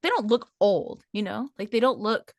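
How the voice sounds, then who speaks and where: A woman's voice: medium pitch, animated, moderate pace, no room sound, clean studio sound. A woman in her late twenties co-hosting a casual podcast, telling stories with lots of laughter and filler words. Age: 20s. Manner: chatty storyteller, laughs often, many "like" fillers. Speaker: podcast co-host.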